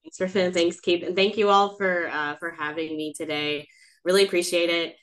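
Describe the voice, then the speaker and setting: A woman with a froggy, hoarse voice from being sick, presenting over a video call in a conversational way with many fillers. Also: fairly low pitch, fairly even intonation, moderate pace. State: hoarse from a cold. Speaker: city electric vehicle planner presenting. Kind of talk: webinar presentation.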